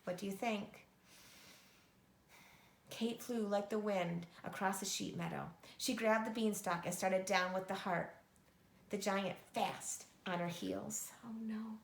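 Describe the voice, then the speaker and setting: Female children's storyteller: expressive narration, noticeable vocal fry, roomy recording. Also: mid-range voice, slow pace. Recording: echoey room.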